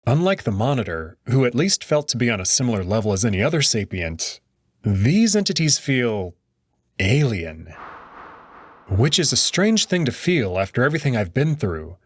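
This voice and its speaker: A man's voice: medium pitch, big pitch swings, moderate pace, slightly rough, no room sound. A man giving dramatic scripted narration as he reads a visual novel story aloud.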